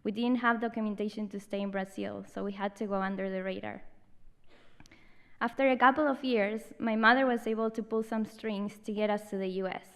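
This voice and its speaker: A woman in her thirties from Argentina with an Argentinian Spanish accent, reading a personal essay aloud on a theatre stage in a measured, reflective way with emphatic pauses. Spanish is her first language. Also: medium pitch, fairly even intonation, clean tone, big-room sound. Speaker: storyteller.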